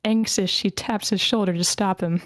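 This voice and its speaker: A woman narrates screenplay action lines at a table read. Her pitch is average for a woman. The sound is dry, with little room around it. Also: fairly even intonation, normal speed, no echo. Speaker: stage-direction reader.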